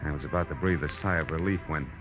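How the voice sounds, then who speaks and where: An actor playing a tough, wry private eye in a 1940s radio crime drama, speaking in clipped slang on an old recording. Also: male voice, extremely low pitch, fast talker, highs cut off, music in the background. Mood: grim narration. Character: hard-boiled male private eye, wry, tough and insistent. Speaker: detective and first-person narrator.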